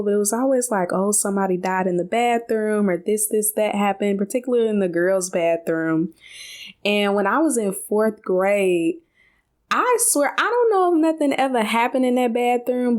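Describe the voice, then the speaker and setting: A woman co-hosting a podcast, telling stories in a casual, conversational way with colloquial asides. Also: mid-range voice. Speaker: podcast co-host.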